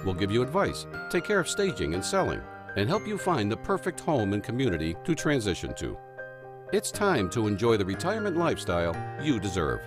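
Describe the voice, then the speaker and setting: A man's voice: wildly swooping pitch, brisk. Man reading an upbeat ad over music. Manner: smooth, upbeat sales pitch. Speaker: commercial announcer. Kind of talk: scripted radio-style ad read.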